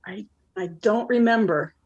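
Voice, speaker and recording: A woman's voice: medium pitch, a little slow, slightly rough, dull audio. A woman acting a blunt, lonely forty-nine-year-old who probes with wry questions in a naturalistic play. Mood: prodding. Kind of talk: naturalistic one-act play dialogue.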